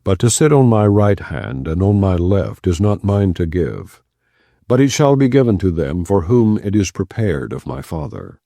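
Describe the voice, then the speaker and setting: Deep, lively-voiced man reading scripture. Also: vocal fry, no room sound. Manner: measured, slows for key phrases. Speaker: Bible reader. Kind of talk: non-dramatized scripture reading.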